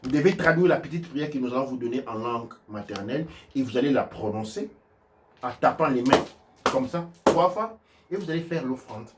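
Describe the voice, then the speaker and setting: Halting man teaching, mic set back. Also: mid-range voice, animated, recorded from a distance, noisy background. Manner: halting, repeats words and restarts, swings from loud to soft. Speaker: spiritual teacher. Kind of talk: religious teaching in a live stream.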